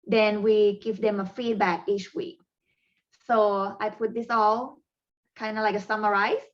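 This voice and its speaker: A woman with a Thai accent, whose first language is Thai, presents parent coaching strategies in a webinar, pausing often with fillers and non-native phrasing. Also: mid-range voice, fairly even intonation, dull audio.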